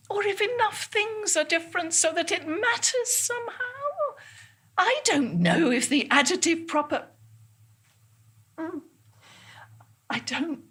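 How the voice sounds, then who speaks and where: Slow, halting, frail elderly woman. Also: a little high, a bit bright, breathy edge, full character voice. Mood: searching, frustrated. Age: older. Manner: halting, trailing off, losing her words. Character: frail, confused elderly woman, wistful, drifting between dimensions. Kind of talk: theatrical monologue.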